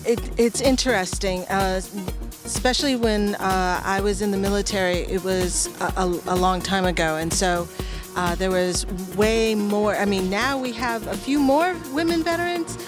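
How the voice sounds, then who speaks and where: A middle-aged woman born in the Philippines, answering interview questions in a hesitant, soft-spoken way, often pausing and restarting. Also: mid-range voice, music in the background. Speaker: guest, veteran and art-program founder.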